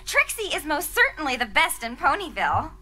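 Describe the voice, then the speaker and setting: A woman's voice: high-pitched, very animated, brisk, pushed and bright, exaggerated voice. A woman playing a boastful showoff unicorn magician. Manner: grandiose, speaks of herself in third person. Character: boastful young female unicorn stage magician. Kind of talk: live blind reading of a cartoon script.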